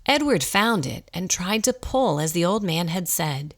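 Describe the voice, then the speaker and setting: A woman's voice: lowish voice, lively intonation, measured pace. A woman reading scripted story narration for a radio drama. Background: music.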